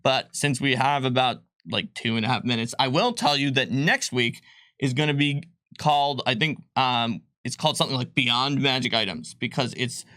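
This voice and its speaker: A man with a mid-pitched voice talks casually on a livestream, recorded very dry and close. He rambles informally through answers to questions, dropping in jokey asides as he goes. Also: fairly even intonation, normal speed, sharp tone, no room sound. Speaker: game designer answering viewer questions on a livestream.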